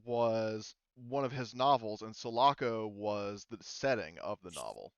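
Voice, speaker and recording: A man's voice: fairly low pitch, expressive, measured pace, smooth, no echo, muffled recording. A man casually talking a group through a tabletop game as game master, explanatory and given to casual swearing.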